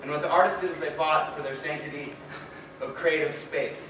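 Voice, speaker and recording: A man's voice: fairly high pitch, steady tone, brisk, fairly bright tone. A man giving informal, rambling public comment at a meeting, often checking for agreement with short questions. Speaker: audience member. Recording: very echoey theatre, distant, noisy.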